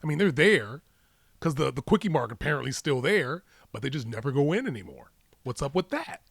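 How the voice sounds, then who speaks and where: A man's voice: medium pitch, sing-song, brisk, gravelly, fairly bright tone, breathy edge, no room sound, studio quality. A man co-hosting a podcast with casual, conversational commentary, arguing his points and repeating phrases for emphasis. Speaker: podcast co-host.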